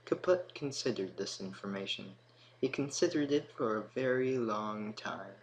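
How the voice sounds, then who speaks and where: A man's voice: lowish voice, expressive, moderate pace, rough recording. A man reading scripted storybook narration as the narrator. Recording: noticeable background noise.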